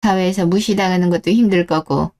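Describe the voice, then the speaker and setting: A middle-aged mother-in-law acted by a woman speaks in a mellow, soft-edged voice. She is formally polite yet condescending, probing in the measured way of a scripted radio story. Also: fairly low pitch, natural voice. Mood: politely condescending.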